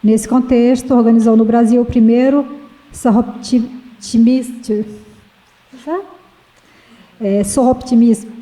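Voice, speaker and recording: A woman with a Brazilian accent moderating a panel, reading a formal academic introduction in a halting way with self-corrections. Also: on the high side, mellow, low-quality recording.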